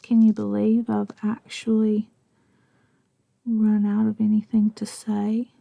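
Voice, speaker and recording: A middle-aged Southern woman chats and rambles slowly while she crafts, her voice gravelly and mellow. She drifts into half-whispers and pokes fun at herself. The sound is close and dry. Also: medium pitch, completely flat delivery, no echo, muffled recording. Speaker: crafting vlogger. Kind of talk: chatty craft tutorial narration.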